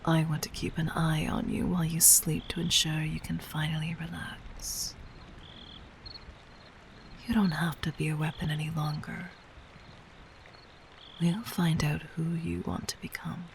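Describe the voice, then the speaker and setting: A woman playing a gentle but commanding, seductive demon queen in an intimate audio roleplay, speaking softly and formally in a breathy near-whisper. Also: sits low, little pitch movement, measured pace, long pauses, slight background noise. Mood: tender, reassuring.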